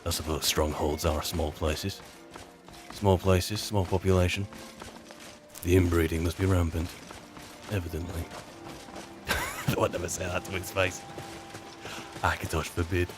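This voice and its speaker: A man's voice: sits low, flat delivery, a little slow, pauses often. Wry man roleplaying over game music. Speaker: roleplay video maker and player. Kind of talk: in-character improvised game roleplay commentary.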